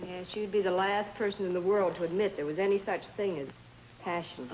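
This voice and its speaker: An actress playing a bitter, bored, sardonic wife with a cutting manner in 1950s television drama style. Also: female voice, lowish voice, steady tone, a little fast, darkish tone, close to an everyday voice. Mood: sarcastic. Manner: cutting, dismissive. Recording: dull vintage soundtrack with faint noise.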